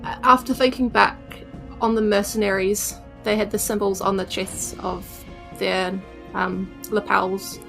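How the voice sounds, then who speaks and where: A woman's voice: medium pitch, little pitch movement, a little slow, gravelly, fairly bright tone, soft background music. A woman playing a tabletop game, casually recounting her character's story in a conversational, matter-of-fact way.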